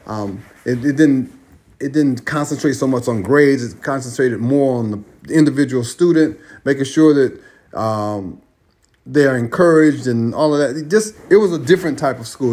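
A man's voice: mid-range voice, lively intonation, normal speed, darkish tone. An American man talking in a loose, rambling way, repeating phrases and correcting himself as he goes. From United States. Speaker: traveler sending a voice message. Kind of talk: casual spoken monologue. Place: Australia.

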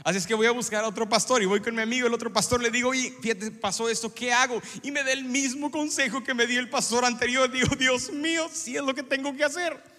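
A middle-aged pastor preaches with a high, bright, forceful voice, joking and acting out little scenes for his congregation. His words ring with a long reverb through a church sanctuary. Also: steady tone, quick pace. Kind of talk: sermon.

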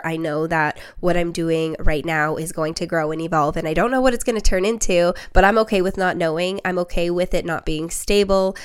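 A woman's voice: on the low side, clean tone. A young woman in her twenties, a bit sick and slightly nasal, hosting a solo podcast and earnestly encouraging her listeners. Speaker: podcast host and spiritual reader. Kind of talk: solo reflective podcast monologue.

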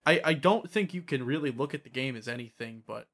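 A man's voice: medium pitch, a little fast, fairly bright tone. A man in a casual debate about games, insistent and argumentative, with some swearing. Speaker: podcast co-host.

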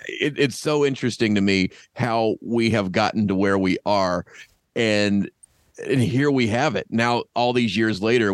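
A man with an American accent hosting a casual chat, eager and animated as he responds to his guest. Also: lowish voice, no room sound, studio quality. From United States. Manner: enthusiastic, animated, affirming. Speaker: podcast host.

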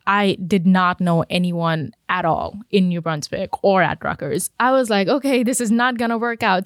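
A woman's voice: medium pitch, clear, resonant tone, dry recording, studio quality. Chatty young woman from India. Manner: chatty, upbeat, with fillers and restarts. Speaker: student guest. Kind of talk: casual podcast chat.

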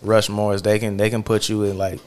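A man's voice: lowish voice, flat delivery, fairly quick, darkish tone. A man hosting a casual interview, easygoing and affirming, with many fillers. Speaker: interview host.

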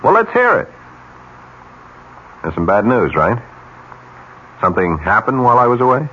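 A man's voice: medium pitch, huge pitch swings, moderate pace, sharp tone. A male actor playing a brash, domineering advertising executive in a 1970s radio drama, blunt and pushy. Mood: breezy, teasing. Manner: blunt, pushy, clipped sarcasm. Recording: dull, faintly noisy old radio recording.

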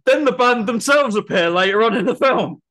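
A man's voice: very high-pitched, lively intonation, moderate pace, bright, forceful tone. A man co-hosting a casual film podcast who reels off facts and trivia about the films. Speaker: podcast co-host.

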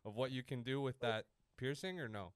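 A young man hosts casual banter, teasing a stranger with crude jokes. His voice sits in a middle pitch and the sound is dry and close, with no room around it. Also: lively intonation, fairly quick, clear, resonant tone, dry recording. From Canada. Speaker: host of a stream chatting with strangers.

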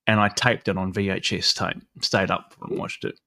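A man hosting a casual chat, relaxed, swearing freely and laughing easily. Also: low-pitched, moderate pace, vocal fry, no room sound, clean studio sound. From New Zealand. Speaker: host.

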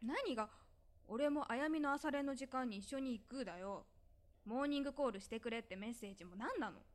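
A woman acts out a high-pitched teenage schoolgirl in a voice drama. She is bossy and exasperated, with an emphatic, scolding delivery and a teasing edge. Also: brisk, close to an everyday voice. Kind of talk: Japanese voice drama phone-call dialogue.

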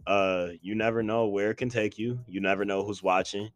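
A man's voice: lowish voice, fairly quick. A man in his twenties co-hosting a podcast, chatting casually and hyping up listeners with slang. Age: 20s. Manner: animated, hype-man slang, addresses listeners as y'all. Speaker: podcast co-host.

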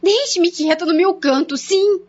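Filha jovem agudíssima e manhosa. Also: female voice, very high-pitched. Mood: whiny reluctance. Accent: Brazilian. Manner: whining, drawn-out pleading. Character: reluctant, withdrawn young daughter, whiny. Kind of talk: radio soap acting. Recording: dull, lo-fi radio drama recording.

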